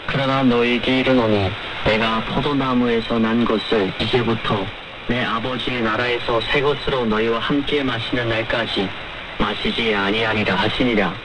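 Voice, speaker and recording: A man reads scripture and makes announcements in a solemn, formal style with measured phrasing, as on a religious radio program. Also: medium pitch, steady tone. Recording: noisy shortwave radio broadcast.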